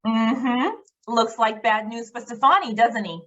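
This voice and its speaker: A woman's voice: a little high, measured pace, fairly bright tone, highs cut off. A woman playing a wry, efficient newspaper secretary in an old-time radio drama.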